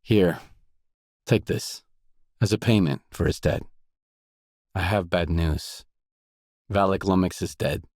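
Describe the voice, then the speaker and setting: An actor playing a blunt, self-reliant mercenary stalker in a video game, speaking in a terse, matter-of-fact way. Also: male voice, sits low, takes it slowly, pauses often, darkish tone, no echo, clean studio sound. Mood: firm, defiant. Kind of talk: video game dialogue lines.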